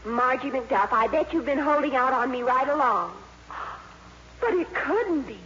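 A woman's voice: high voice, animated, unhurried, a bit bright. An actress playing a gushing, gloating, breathlessly dramatic teenage girl in a 1950s radio comedy. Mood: amazed, scandalized. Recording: muffled, very noisy old radio broadcast.

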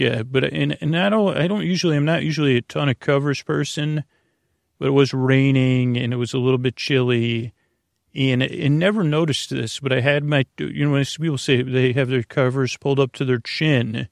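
A man hosting a bedtime podcast in a meandering, halting monologue, often trailing off and circling back on himself. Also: medium pitch, no room sound, studio quality. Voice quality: creaky, gravelly. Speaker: podcast host. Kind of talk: rambling bedtime monologue to help listeners sleep.

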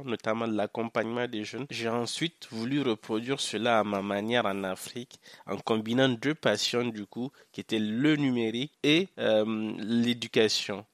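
A young man from Niger, a Hausa speaker, giving a measured, earnest first-person account on a podcast with occasional hesitant fillers. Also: medium pitch, no echo. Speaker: podcast guest, social entrepreneur. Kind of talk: first-person podcast testimony, partly read.

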